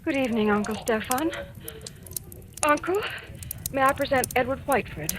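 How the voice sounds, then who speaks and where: High-voiced, anxious young woman in love. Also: animated, moderate pace. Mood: dutiful pleading. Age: young adult. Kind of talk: 1940s radio acting. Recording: noisy old radio recording.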